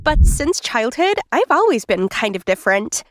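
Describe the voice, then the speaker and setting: A woman voicing a self-assured girl genius who narrates her own life story for an animated video. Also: on the high side, moderate pace, a little hiss or hum. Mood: perky, boastful. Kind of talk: scripted first-person story narration.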